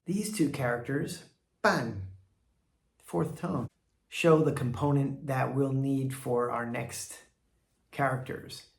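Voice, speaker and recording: A man's voice: mid-range voice, takes it slowly, creaky, long pauses, slightly rough, no room sound. A man, middle-aged, hosting a casual, chatty vlog that teaches language with rambling asides. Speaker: video host teaching Chinese characters.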